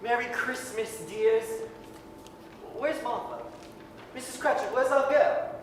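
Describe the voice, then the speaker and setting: An actor playing a gentle, doting, cheerful family father in a radio-style staged reading. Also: medium pitch, takes it slowly, lightly acted. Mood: affectionate. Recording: very echoey hall, distant, noisy hiss.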